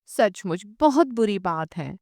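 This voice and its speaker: A woman voicing an excitable, reproachful woman who exclaims and chides an old acquaintance in an audiobook story. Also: medium pitch, slow pace, no echo, studio quality. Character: unmarried woman, excitable, reproachful, eager to keep company. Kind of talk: audiobook short story dialogue.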